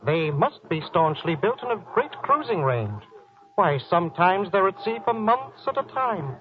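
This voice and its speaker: An actor playing a genteel, pious village merchant who speaks earnestly and formally in a 1940s radio drama. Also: male voice, high-pitched, very animated, normal speed. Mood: explaining. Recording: dull, noisy 1940s radio recording.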